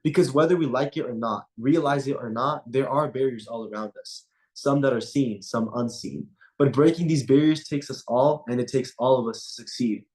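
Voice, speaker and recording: A man's voice: mid-range voice, a little mellow, rough recording. Young man reciting spoken-word poetry. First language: Punjabi. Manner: measured, rhythmic recitation with repeated refrains. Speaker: student poet. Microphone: video call.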